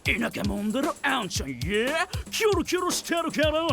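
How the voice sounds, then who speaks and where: An actor playing a brash, cocky young street rapper in an anime voice drama, with swaggering, rhythmic slang. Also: male voice, extremely high pitch, sing-song, brisk, creaky, over music, full character voice. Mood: cocky, taunting.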